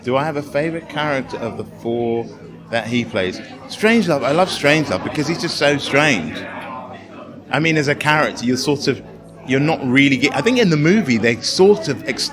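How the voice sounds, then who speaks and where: A man's voice: mid-range voice, lively intonation, brisk, crowd noise. A man chatting casually in an interview, enthusiastic and often searching for his words. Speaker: interviewee from the production. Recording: loud music behind. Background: party music.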